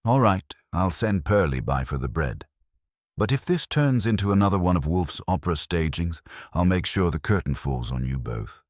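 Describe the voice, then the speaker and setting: Gruff police inspector, acted by a man, very deep, husky, irritable, sarcastic, detective audiobook. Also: lively intonation, moderate pace, no echo, clean studio sound. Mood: irritated, blustering. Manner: sarcastic, impatient, growling threats. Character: gruff, blustering, irritable middle-aged male police inspector.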